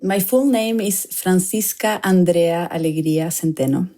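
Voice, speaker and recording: A woman's voice: lowish voice, moderate pace, dark tone. A woman in her thirties from Chile with a Chilean Spanish accent, telling her story as a guest, reflective and peppered with "like". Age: 30s. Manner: reflective, with frequent "like" fillers. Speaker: guest filmmaker. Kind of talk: personal storytelling in an interview.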